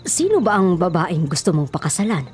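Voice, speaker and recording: A woman's voice: lowish voice, big pitch swings, brisk, a little mellow. A woman playing a stern middle-aged mother, reproachful and admonishing in a radio melodrama. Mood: stern, concerned. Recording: dramatic music under the voice.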